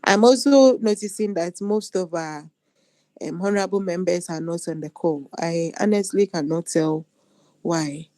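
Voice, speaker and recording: A young woman with a Ghanaian accent speaks up in a formal video meeting in a slightly low, mellow voice. Her remarks are unscripted, and the sound is dry with little room in it. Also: a little slow, dry recording. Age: young adult. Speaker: member of a youth parliament. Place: online video call.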